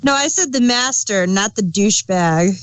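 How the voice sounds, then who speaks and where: A woman with a mid-pitched voice chats as a review co-host. Her delivery is informal and bantering, clearly unscripted. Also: animated, normal speed, dry recording. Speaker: podcast co-host. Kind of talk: casual unscripted review chat.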